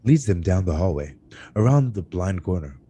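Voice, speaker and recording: A man narrating screenplay stage directions at a live table read, with a husky voice and occasional stumbles that he corrects. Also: low voice, very animated, moderate pace, dark tone. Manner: stumbles over words and corrects himself.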